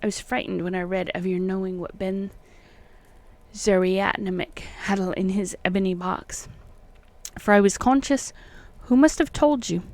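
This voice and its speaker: A woman voicing an uneasy, secretive old colonial occultist man, reading his letters in solemn, halting archaic phrasing. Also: medium pitch, darkish tone, natural voice. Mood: uneasy, insistent.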